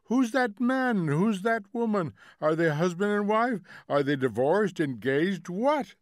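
An agitated husband from a storybook reading, acted by a man. He presses with urgent, incredulous questions, his pitch raised in frustration. The sound is dry and close. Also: animated, no room sound, natural voice. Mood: exasperated, agitated. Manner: rapid-fire incredulous questioning, shouting when frustrated.

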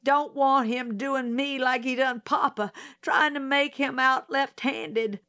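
A woman reading a storybook voices a frightened, defensive young woman witness of nineteen, with a high, strained, rustic way of speaking. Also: fairly even intonation, slow pace, sharp tone, full character voice. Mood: scared.

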